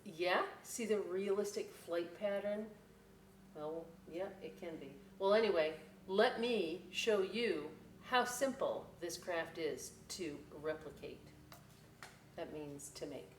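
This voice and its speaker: A woman's voice: lowish voice, slow pace, a little hiss or hum. A woman hosting a library story time, reading a picture book aloud with chatty, folksy asides to children. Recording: echoey room, distant microphone.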